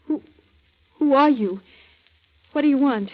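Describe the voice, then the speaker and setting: An actress playing a frightened but determined teenage daughter in an urgent, dramatic 1940s radio style. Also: female voice, high-pitched, a little slow. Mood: fearful, demanding. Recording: tinny narrow-band old radio recording.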